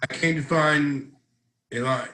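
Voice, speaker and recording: A man's voice: on the high side, steady tone, takes it slowly, bright, forceful tone. A man playing a bitter, defiant man in a dramatic script read-through, recorded in an echoey room. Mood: tense.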